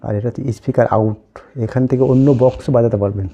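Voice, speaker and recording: A man's voice: medium pitch, steady tone, fast talker, mellow, lo-fi sound, slight background noise. A man giving a casual, plainly explained product unboxing commentary, going item by item. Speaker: host of an unboxing video channel.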